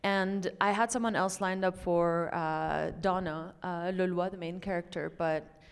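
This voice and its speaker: A woman's voice: lowish voice, steady tone, a little slow, creaky, clear, resonant tone. A woman from Lebanon in her thirties, a filmmaker answering questions in a reflective, hesitant way with many fillers. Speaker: filmmaker guest. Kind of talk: conversational Q&A answers.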